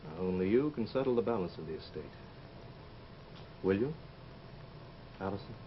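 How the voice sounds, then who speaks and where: An actor playing a brooding, intense sculptor in love, in old-time radio drama style, on a muffled vintage recording. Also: male voice, low voice, expressive, moderate pace, slight background noise. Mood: earnest, intense.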